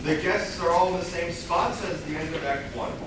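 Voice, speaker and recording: A man's voice: on the high side, normal speed. Male stage-direction narrator in echoey room. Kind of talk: scripted narration at a staged reading. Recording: very echoey room, distant mic, noise.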